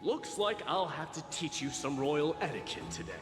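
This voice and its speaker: Haughty warrior prince, echoing. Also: male voice, on the high side, sing-song, moderate pace. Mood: scornful. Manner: haughty, gruff, sardonic. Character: proud, scornful warrior crown prince. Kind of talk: video game cutscene acting. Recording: echoey long reverb, game music behind.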